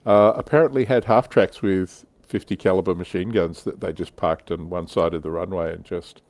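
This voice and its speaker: A man's voice: low-pitched, fairly even intonation, normal speed, darkish tone, dry recording. A man hosting a livestream with casual chat about tabletop wargaming. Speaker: livestream host.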